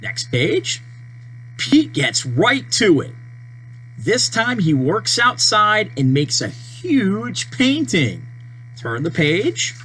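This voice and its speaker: A person reading a children's storybook aloud, with deliberate, simple phrasing and page-turn prompts. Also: medium pitch, poor audio. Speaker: storybook reader. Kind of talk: children's read-aloud narration. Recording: steady mains hum behind voice.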